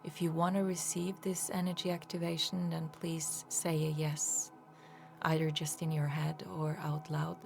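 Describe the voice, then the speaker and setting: Woman, guided meditation, low creaky voice, soft breathy phrase endings, music behind. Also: level tone, a little slow. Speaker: energy healer leading guided meditation.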